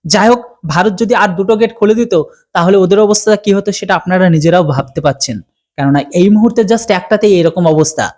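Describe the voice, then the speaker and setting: Indian Bengali commentary host, brisk delivery, mid-pitched voice for a news channel. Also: darkish tone.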